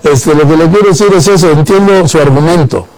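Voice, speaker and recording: Brief: older male radio host, somewhat high voice, direct and pressing questions. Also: brisk, darkish tone, low-quality recording. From Spain, born in Madrid.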